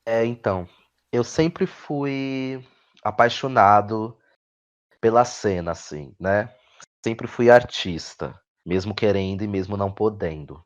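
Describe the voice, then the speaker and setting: Low-voiced Brazilian man, dull recording. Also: slow pace. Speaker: guest playwright and actor. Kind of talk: conversational interview answers about own work.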